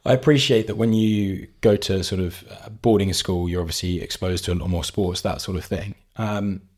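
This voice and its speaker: Hoarse male podcast host, lowish voice, friendly and rambling. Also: steady tone, moderate pace, darkish tone. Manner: friendly, asks long rambling questions. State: hoarse, losing his voice. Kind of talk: casual interview chat.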